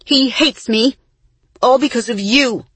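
A woman's voice: on the low side, lively intonation, a little fast, slightly rough, no room sound. A woman voicing a furious, hurt girl in an audiobook, accusing her sister with emphatic force. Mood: angry, hurt. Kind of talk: audiobook character acting.

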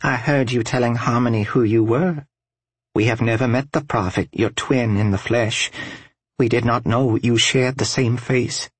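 A man voicing a gentle, earnest religious woman in an audiobook story. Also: mid-range voice, fairly even intonation, moderate pace, breathy edge, dry recording, pitched above the performer's own voice, close to an everyday voice.

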